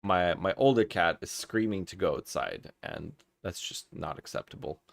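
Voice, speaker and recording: A man's voice: sits low, lively intonation, moderate pace, gravelly, no echo. A man from Kazakhstan chatting casually as a game livestreamer giving advice, often repeating words as he thinks aloud. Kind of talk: casual live stream chat, advice and commentary.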